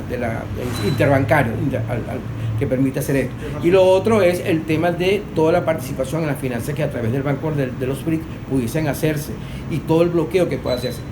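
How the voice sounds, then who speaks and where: Slightly high man, noisy recording. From Venezuela. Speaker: panelist, political analyst. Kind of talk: unscripted political and economic analysis.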